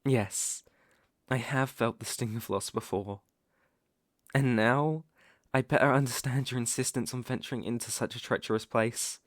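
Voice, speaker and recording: A man's voice: medium pitch, dry recording, clean studio sound, close to an everyday voice. Man acting as courtly, sinister British werewolf. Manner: courtly, formal, measured, insinuating. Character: smooth-talking sinister male werewolf posing as protective gentleman. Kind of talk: ASMR roleplay audio acting.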